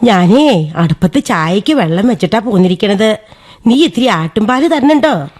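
A woman's voice: mid-range voice, expressive, normal speed, darkish tone, natural voice. A woman playing a plain-spoken, chiding old village great-aunt in a radio drama, chatty and busy. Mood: brisk, busy. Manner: chatty, proverb-quoting, mildly reproachful.

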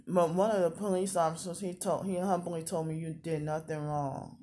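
A woman's voice: on the low side, level tone, normal speed, dark tone. A woman in her thirties talking casually in a confessional vlog, rambling and repeating herself. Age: 30s. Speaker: vlogger.

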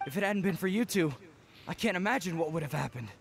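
An actor playing a timid, grateful young villager in an anime-style video game, with a nervous, sheepish manner. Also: male voice, sits high, lively intonation, quick pace, vocal fry. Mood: relieved, grateful.

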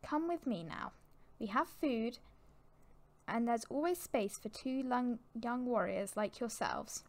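A kindly mother cat from an animal tale, played by a woman. She speaks in a high, gentle voice, coaxing and reassuring as she welcomes the young. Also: animated, slow pace.

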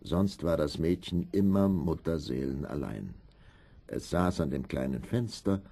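A man's voice: a bass voice, lively intonation, unhurried, creaky, mellow, breathy edge. A man narrating a scripted fairy tale as a storyteller.

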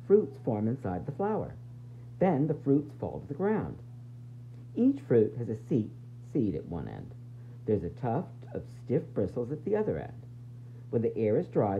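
A man reading a children's book aloud like a teacher, expressively, with dramatic pauses, emphasis and questions to his young listeners. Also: medium pitch. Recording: dull, faintly noisy home recording.

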